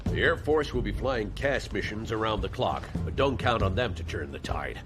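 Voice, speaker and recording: An actor playing a tough, blunt male army colonel who speaks in a gruff, commanding way, with music behind. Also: fairly low pitch, animated, normal speed, lightly acted. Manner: gruff, commanding, scornful of officials. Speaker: commanding officer. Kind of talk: game cutscene acting.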